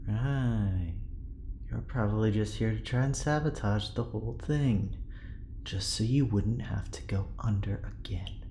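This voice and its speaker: Gravelly, irritable technician. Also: male voice, lowish voice, measured pace, dark tone, a little hiss or hum. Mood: exasperated, scornful. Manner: curt, exasperated, scornful. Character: irritable, distrustful male technician, demanding and scornful. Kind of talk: audio drama acting.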